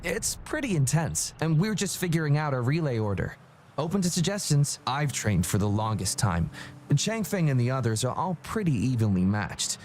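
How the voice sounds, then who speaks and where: A man's voice: medium pitch, sing-song, moderate pace, darkish tone, a little hiss or hum, lightly acted. An actor playing a confident young male relay runner in a video game, casual and friendly. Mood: upbeat, friendly.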